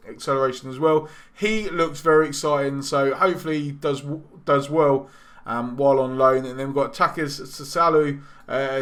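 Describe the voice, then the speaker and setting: A man giving casual, rambling commentary over a football management game, his voice going a little hoarse. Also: a little high, fairly bright tone. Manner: chatty, rambling, with frequent ums and self-corrections. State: losing his voice. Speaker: gaming YouTuber.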